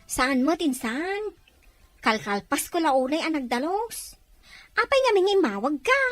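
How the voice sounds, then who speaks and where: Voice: high-pitched, very animated, measured pace, no room sound. A performer playing a helpful, earnest relative in a dramatized audio family story. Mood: concerned, helpful.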